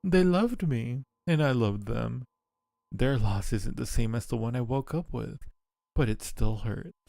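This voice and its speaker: A man voicing an ancient female dark spirit in a visual novel, solemn, mournful and devoted, with formal phrasing. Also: mid-range voice, a little slow, vocal fry, no echo, studio quality. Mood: tender, grieving. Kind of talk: dramatic visual novel reading.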